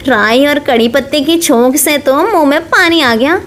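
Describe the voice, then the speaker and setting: A woman's voice: high-pitched, animated, moderate pace, fairly bright tone. A woman voicing a young, cheerful, eager village girl in a cartoon story. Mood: delighted.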